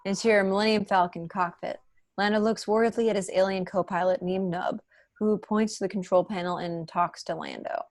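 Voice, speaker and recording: A woman's voice: medium pitch, fairly even intonation, brisk, dry recording. A young woman reading screenplay stage directions as scripted narration on a video call from home. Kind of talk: scripted narration at a table read.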